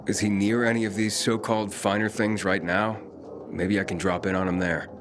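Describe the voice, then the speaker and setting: Young male wanderer, played by an actor, low voice, wry and dogged, video game lead. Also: level tone, normal speed, natural voice. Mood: insistent, wry.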